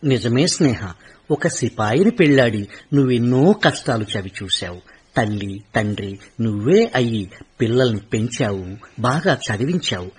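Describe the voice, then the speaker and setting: A middle-aged retired soldier in a radio play who cannot settle into home life. His voice is husky, his pitch rises and falls a great deal, and he speaks earnestly. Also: normal speed. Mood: regretful. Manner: earnest, wistful, with animated pitch. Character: retired army havildar, middle-aged, restless.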